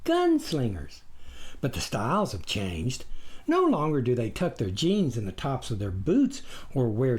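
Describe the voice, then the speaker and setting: A man acts out an eager, folksy tempter from an audiobook. The pitch swoops widely as he wheedles and needles, always persuading. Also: darkish tone. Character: Snuffer, eager, persuasive imaginary tempter partner. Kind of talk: audiobook character voice.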